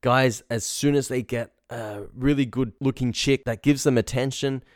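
A man's voice: mid-range voice, fairly even intonation, normal speed, no room sound, clean studio sound. A man hosting as a confidence coach, giving informal instruction in a blunt, slangy way. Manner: blunt, slangy, acts out example lines. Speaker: dating confidence coach, show host.

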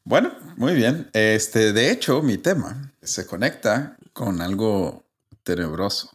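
A man's voice: on the low side, expressive, takes it slowly, breathy edge. Mexican man telling a story casually. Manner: conversational, explains with asides and tag questions. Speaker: podcast host.

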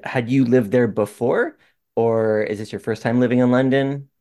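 A man's voice: mid-range voice, steady tone, normal speed, warm tone. A man interviewing a young athlete in casual chat, hesitant, with many "um"s and "you know"s.

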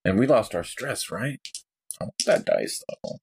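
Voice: mid-range voice, lively intonation, unhurried, vocal fry, very noisy. A player in a tabletop role-playing game, talking casually through dice rolls and moves.

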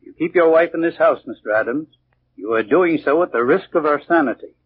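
A man playing a grave, firm doctor, measured and formal, in a 1940s radio drama. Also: fairly high pitch, animated, lightly acted. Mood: grave, advising. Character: grave, firm physician advising a husband. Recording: muffled, faintly noisy old radio recording.

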